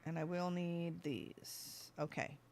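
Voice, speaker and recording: A gaming streamer with a mid-pitched voice narrates play in a casual, offhand way. The talk drifts between thinking aloud and addressing animals in the game. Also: flat delivery, takes it slowly, a little hiss or hum. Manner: talks to game animals and self. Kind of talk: casual gameplay commentary.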